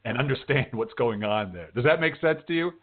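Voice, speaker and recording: A man's voice: medium pitch, expressive, a little fast. Male radio host, slightly muffled sound. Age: middle-aged. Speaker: radio show host and interviewer. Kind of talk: casual conversational interview. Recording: band-limited, dull radio-show audio.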